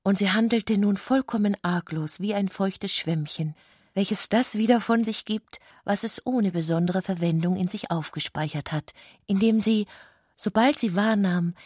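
A woman narrating literary prose for a radio play in a breathy voice. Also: medium pitch, darkish tone, dry recording, studio quality.

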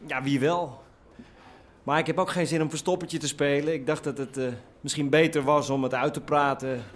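An actor playing a cautious, placating small-time smuggler in a radio drama, reasoning in a hesitant way. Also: male voice, a little high, fairly even intonation, normal speed, close to an everyday voice. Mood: nervous, pleading.

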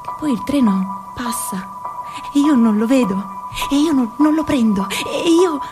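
Woman doing theatrical radio narration, fairly high voice, really expressive, voice jumps up and down a lot. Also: unhurried, music in the background. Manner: expressive, swings pitch and loudness. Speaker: narrator and performer.

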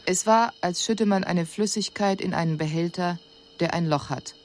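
An actress playing a composed alien envoy who gives a formal, measured, analytical report in a radio drama. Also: female voice, on the low side, a little mellow, slight background noise, lightly acted. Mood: detached, puzzled.

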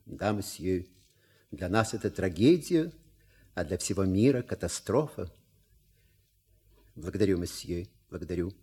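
A man's voice: low voice, husky, full character voice. A man playing a suave middle-aged valet in an audio stage comedy, formal and deferential with dry irony. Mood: solemn courtesy. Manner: formal, deferential, drily ironic.

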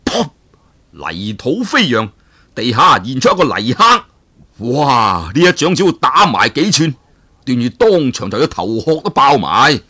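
A man from Hong Kong telling a martial arts tale in a dramatic, animated storyteller's manner. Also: medium pitch, a little slow, husky. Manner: animated, dramatic, chuckling asides. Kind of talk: traditional Cantonese storytelling of a martial arts novel.

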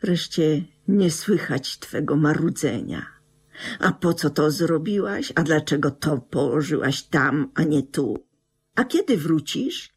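A woman's voice: low-pitched, lively intonation, takes it slowly, breathy edge, heavily acted. An actress playing a nonchalant, self-absorbed middle-aged widow and mother in a radio drama, with theatrical delivery. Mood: wry, nostalgic. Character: cool, self-absorbed new widow, mother of a grown son. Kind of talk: Polish radio drama acting.

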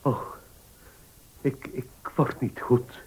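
An actor playing a principled, frail elderly notary in a radio play, halting, solemn and husky. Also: male voice, a little mellow. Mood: indignant, faint. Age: older. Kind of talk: Dutch radio play acting.

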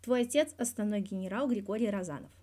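A woman's voice: mid-range voice, fast talker, dark tone, pitched above the performer's own voice, close to an everyday voice. A woman voicing a persistent male journalist in an audiobook, putting direct, pressing questions. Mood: probing. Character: persistent, probing adult male journalist interviewer.

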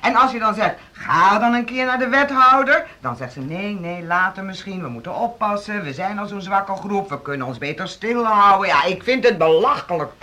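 An actress playing an indignant music teacher in a radio drama, grumbling in exasperation about the people in charge. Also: female voice, mid-range voice, lively intonation, normal speed, hardly any pauses, pushed and bright. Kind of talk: Dutch social radio drama acting.

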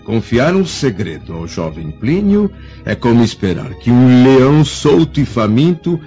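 An actor with a Brazilian Portuguese accent playing a dignified older Roman senator in a radio drama, with grave, formal delivery. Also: male voice, medium pitch, very animated, a little slow, mellow, husky, heavily acted. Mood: wry. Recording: muffled radio drama recording with music.

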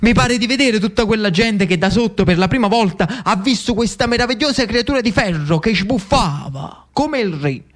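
A male storyteller with a strong Neapolitan accent and a high-pitched voice. He performs a theatrical narrative monologue, lyrical and dramatic, breaking it into short repeated phrases with pauses. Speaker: actor-storyteller.

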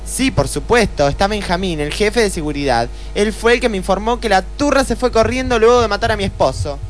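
A woman's voice: medium pitch, animated, fairly quick, a little hiss or hum, close to an everyday voice. A woman acting a wealthy, melodramatic widow in a comic radio play, prim and plaintive, with an Argentine accent. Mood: indignant.

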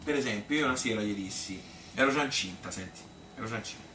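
A man's voice: on the low side, fast, bright, forceful tone. A man playing a plain-spoken Tuscan mother who reminisces in a rambling, hesitant, conversational stage monologue full of self-questioning. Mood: matter-of-fact, resigned. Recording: roomy, distant stage recording with noise.

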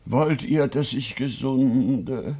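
An actor playing a cunning, lecherous old miser who feigns sickness, drawn-out and wheedling, in a stage comedy. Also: male voice, mid-range voice, mellow, slight background noise. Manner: drawn-out, wheedling, theatrically feeble. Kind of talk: classic stage comedy radio play.

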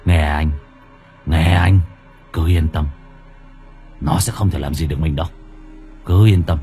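Man acting a deep, firm, protective boyfriend. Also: moderate pace, darkish tone, faint music behind. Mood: firm, reassuring. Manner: firm, soothing, repeats reassurances. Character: protective, reassuring boyfriend, shady and scheming. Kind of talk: dramatized horror audio story.

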